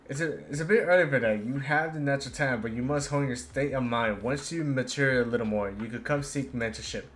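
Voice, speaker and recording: A man streaming a game, reading its dialogue aloud haltingly and adding casual commentary. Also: mid-range voice, slight background noise. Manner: halting read-aloud with stumbles.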